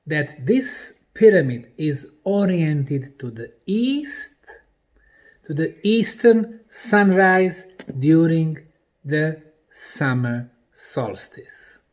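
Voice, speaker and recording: Slow, animated male lecturer from Bosnia. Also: fairly high pitch. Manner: deliberate, emphatic, speaking in short broken phrases. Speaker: presenter and researcher. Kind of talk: explanatory lecture presentation.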